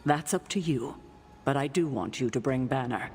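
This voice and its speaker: A woman's voice: extremely low pitch, darkish tone, soft background music. A firm mentor witch. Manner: firm, brisk, commanding. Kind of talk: video game cutscene acting.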